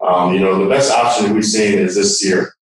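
Low-voiced man demonstrating in echoey room. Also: steady tone, normal speed. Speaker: technical demonstrator. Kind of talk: hands-on technical demonstration, conversational. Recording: echoey room, distant microphone.

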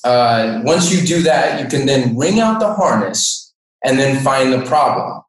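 A man's voice: mid-range voice, expressive, a little slow. A man giving a methodical, step-by-step technical product explanation as an expert, recorded in an echoey room. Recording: echoey room, distant microphone.

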